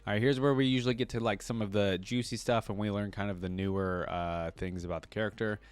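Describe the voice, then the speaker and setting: A man doing casual reaction commentary, reading aloud and breaking off to think out loud and check in with listeners. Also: on the low side, fairly even intonation, quick pace, smooth, soft background music. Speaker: video creator reacting to game character lore.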